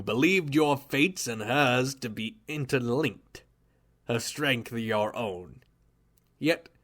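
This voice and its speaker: A man voicing a cold, gloating male villain scientist who talks in a soft, smug, menacing drawl in an audio drama. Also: mid-range voice, close to an everyday voice. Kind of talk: amateur audio drama acting.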